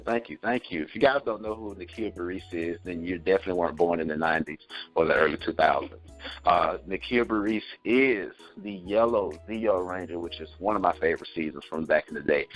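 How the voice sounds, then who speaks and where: A man's voice: sits low, poor audio. A man hosting an interview series, enthusiastic and telling stories from his own childhood. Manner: enthusiastic, storytelling. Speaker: interview host. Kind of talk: casual interview chat.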